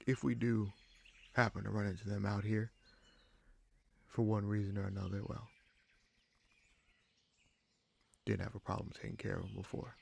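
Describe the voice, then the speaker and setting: Guy doing a bandit boss for fantasy audio rp, deep creaky voice, chill and protective, kinda halting like real talk. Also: flat delivery, exaggerated voice. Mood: pensive, concerned. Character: human bandit leader, grateful, easygoing, protective man. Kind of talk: roleplay audio drama, spoken to the listener. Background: birdsong, forest ambience.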